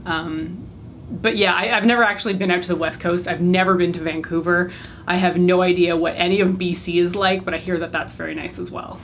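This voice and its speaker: A woman's voice: on the low side, steady tone, fairly quick, fairly bright tone, slight background noise. A Canadian woman in her thirties, chatting casually to camera as a beauty vlogger, with lots of fillers and rambling asides. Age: 30s. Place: bright home room indoors.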